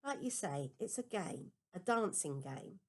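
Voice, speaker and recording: A woman voicing a storybook's protective older sister, gently warning and soothing her little brother in a matter-of-fact way. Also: fairly low pitch, animated, a little slow. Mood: reassuring.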